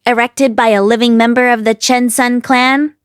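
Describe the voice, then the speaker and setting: A woman with a fairly high voice narrates fiction from a script. Her reading is expressive storytelling, recorded very dry and close. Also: normal speed, clear, resonant tone, no room sound. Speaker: audiobook reader.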